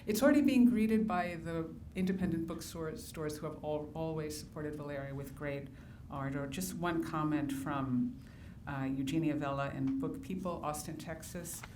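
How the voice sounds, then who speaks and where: Woman host, earnest scripted intro, deep voice, roomy distant sound. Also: moderate pace, few pauses. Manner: earnest, reads out praise. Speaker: event host introducing an author. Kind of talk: reading a prepared introduction. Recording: echoey room, distant mic, audible noise.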